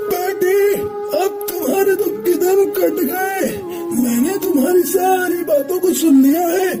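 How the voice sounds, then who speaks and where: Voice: very high-pitched, a little slow. An actor voicing a kindly hermit sage bird in a cartoon, giving blessings solemnly. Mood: benevolent, solemn. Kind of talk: cartoon dubbing. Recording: music behind the voice. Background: loud music.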